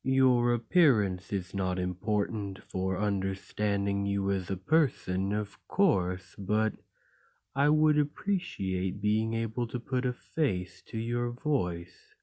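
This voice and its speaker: A man voicing a gentle, lonely golem in an ASMR roleplay, speaking in a formal, measured and courteous way. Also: fairly low pitch. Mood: polite, wistful.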